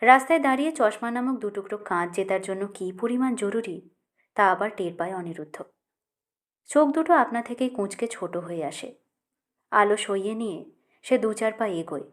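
A woman reading a literary short story as an audiobook narrator, in a measured, expressive way. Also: medium pitch.

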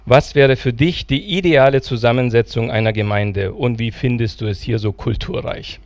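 A man's voice: mid-range voice, normal speed, no echo, lo-fi sound. A middle-aged man hosting an interview on a church stage, asking formal, polite questions. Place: church hall.

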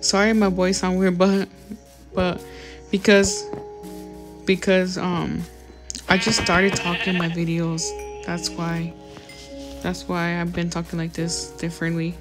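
Casual woman gamer narrating her own play, chatty and apologetic, loud music bed behind her. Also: on the low side. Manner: apologetic, rambling, many fillers. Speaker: gaming YouTuber. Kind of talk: casual gameplay commentary.

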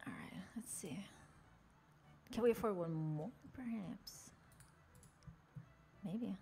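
A woman's voice: medium pitch, slow pace, vocal fry, warm tone, a little hiss or hum. Chatty Norwegian woman streaming a game. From Norway. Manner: chatty, jokey, chatting with chat while playing. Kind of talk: playful in-character game commentary.

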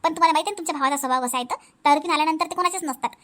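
A protective elder sister-in-law acted by a woman with a very high, rapid voice. She is outspoken and emphatic, repeating her points in a Vidarbha Marathi accent, as in a family cartoon. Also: a bit bright. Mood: scolding. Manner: emphatic, repetitive, advising. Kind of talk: animated family comedy acting.

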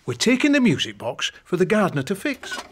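An actor voicing a doting, bumbling middle-aged king and father in a children's cartoon, gentle and hesitant. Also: high voice, wildly swooping pitch, a little fast, husky, full character voice. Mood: distracted.

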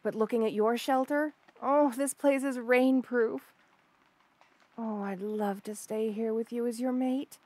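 Girl doing a yandere snake lady for audio rp, highish voice, dry sound, shy stammery gushing, kinda creepy obsessive. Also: steady tone, unhurried, darkish tone, no echo. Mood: longing, tender. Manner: hesitant, gushing, stammering coyly. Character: obsessive lovestruck female rattlesnake lamia, shy yet unsettling. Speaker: audio roleplay voice actor. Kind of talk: intimate audio roleplay monologue.

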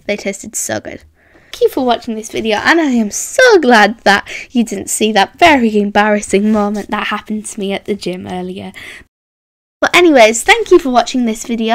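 A woman's voice: fairly high pitch, lively intonation, normal speed, no echo, studio quality. A woman hosting a voiced roleplay vlog, bubbly and chatty, reacting with gasps and hums. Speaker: roleplay channel host.

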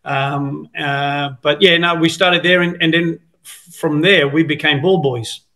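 Bright-toned Italian-born man on a video call. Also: on the high side, fairly even intonation, a little slow. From Italy. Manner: rambling storytelling with many fillers. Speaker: guest, former footballer. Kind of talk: casual interview over video call. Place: home room, indoors. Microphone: video call, laptop camera.

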